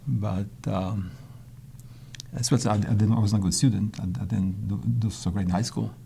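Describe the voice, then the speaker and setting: A man's voice: on the low side, fairly even intonation, normal speed, gravelly, warm tone, slight background noise. An older man from Poland, whose first language is Polish, recalling his early life in a hesitant interview manner with many restarts. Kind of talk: reflective interview answers.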